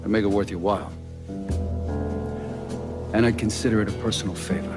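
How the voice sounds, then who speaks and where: A man's voice: lowish voice, fairly even intonation, a little fast, close to an everyday voice. A man playing a brooding, vengeful middle-aged mobster in a crime game, understated and colloquial. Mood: grim, intent. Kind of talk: dramatic video game acting. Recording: clear guitar music behind.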